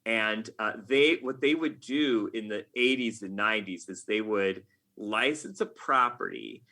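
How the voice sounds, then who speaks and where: A man's voice: on the low side, measured pace, bright, forceful tone. A middle-aged man talking casually as a podcast guest, with a wry, self-mocking, nerdy enthusiasm. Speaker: podcast guest, game writer.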